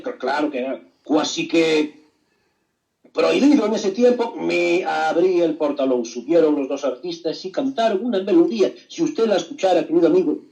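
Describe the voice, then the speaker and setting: A man voicing a flamboyant old male painter, talking with slow, dramatic pauses and grand boasts. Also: fairly high pitch, mellow, low-quality recording. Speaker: radio contributor.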